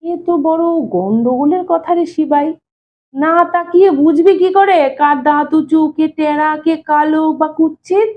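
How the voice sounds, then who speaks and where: A woman's voice: high voice, moderate pace, voice pushed up, close to an everyday voice. A woman reading an audio story as a nosy, concerned older brother-in-law, coaxing and questioning in a familiar tone. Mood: exasperated. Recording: thin phone-like narrow-band sound.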